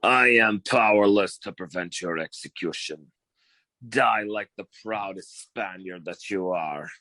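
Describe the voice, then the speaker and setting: A man's voice: sits low, a little slow, pushed and bright, dry recording, pitched below the performer's own voice. A man voicing hammy, melodramatic foreign characters in a theatrical 1940s style radio comedy act. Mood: grave melodrama. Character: dramatic theatrical foreign characters put on by showoff detective.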